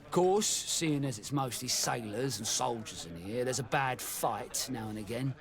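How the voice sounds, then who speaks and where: A man's voice: mid-range voice, expressive, normal speed, crowd noise, lightly acted. A man playing a chatty, sly ex-soldier tavern keeper in a video game, with a folksy manner. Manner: folksy, colloquial. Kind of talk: video game dialogue acting.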